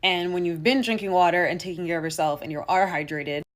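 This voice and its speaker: A woman talking through a numbered list of grooming tips in a relaxed, conversational way. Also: lowish voice, fast. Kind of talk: listicle beauty vlog.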